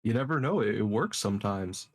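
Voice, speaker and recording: A man's voice: fairly low pitch, lively intonation, brisk, no room sound, clean studio sound. A man playing a tabletop game, joking around. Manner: joking, laughing. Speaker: player. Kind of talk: casual tabletop play.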